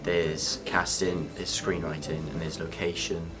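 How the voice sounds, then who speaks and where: Young man, deep and candid, filler-heavy, over music. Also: flat delivery, a little slow. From Wales. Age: young adult. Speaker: film apprentice. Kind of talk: interview answers. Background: background music.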